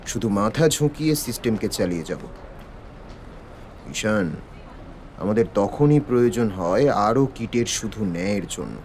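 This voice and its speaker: Man playing a low, cool-headed police detective. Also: a little mellow, a little hiss or hum. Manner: cool, measured, commanding. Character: calm, authoritative male police detective, cryptic and philosophical. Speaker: actor in an audio story. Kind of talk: Bengali audio detective drama acting.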